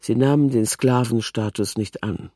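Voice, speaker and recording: A man's voice: medium pitch, moderate pace, dark tone, no room sound, clean studio sound. A man narrating a scripted documentary text in a terse, factual, report-like manner.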